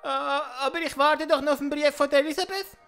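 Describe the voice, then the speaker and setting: Woman doing a whiny swiss german kid son, high pitched, embarrassed type, music playing behind. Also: steady tone, a little fast, creaky, fairly bright tone. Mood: reluctant. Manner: whining, reluctant. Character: whiny, embarrassed boy, obedient young son. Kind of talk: comic audio drama acting.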